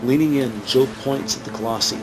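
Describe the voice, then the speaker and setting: A man's voice: mid-range voice, moderate pace, over music, low-quality recording. A man reading scripted present-tense narration for a crime audio drama.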